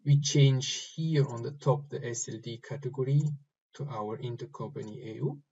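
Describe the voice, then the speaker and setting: A man giving step-by-step instructions for a software screen recording, speaking in short, halting phrases. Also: mid-range voice, slightly rough, dull audio. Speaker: software tutorial presenter. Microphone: voice-over recorded over screen capture.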